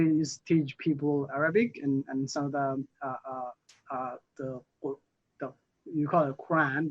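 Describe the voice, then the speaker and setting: A young man from Somalia, a newly elected council member, answering interview questions haltingly with many fillers and restarts. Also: fairly high pitch. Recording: muffled lo-fi audio.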